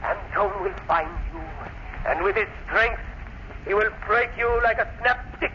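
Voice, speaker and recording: A man's voice: high-pitched, measured pace. An actor playing a vengeful, theatrical mad professor who taunts his enemy, in a 1930s radio thriller style. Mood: gloating, menacing. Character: vengeful, gloating mad professor, crippled villain. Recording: noisy muffled 1930s radio recording.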